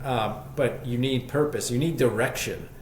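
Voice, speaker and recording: A man's voice: medium pitch, moderate pace. A man speaking as an interview guest, telling his story conversationally in a reflective, enthusiastic way. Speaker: podcast guest, shop co-founder. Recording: echoey room, faint background noise.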